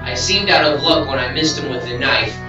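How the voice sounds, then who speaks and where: A man's voice: mid-range voice, brisk, dull audio, natural voice. A man playing a brave, earnest young ninja hero with dramatic delivery in an amateur audio drama. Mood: explaining. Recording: echoey, distant hobby recording over music.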